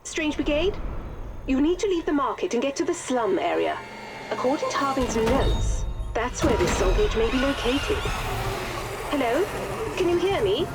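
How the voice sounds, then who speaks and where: High-pitched, urgent woman giving orders. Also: very animated, normal speed, long pauses. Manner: urgent, instructing. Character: brisk female radio dispatcher guiding adventurers. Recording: music behind.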